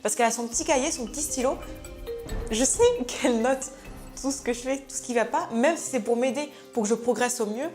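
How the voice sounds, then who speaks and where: A woman's voice: a little high, brisk. A woman zookeeper narrating her day for a documentary, candid about her nerves, congested with a head cold. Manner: candid, admits nerves plainly. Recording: background music.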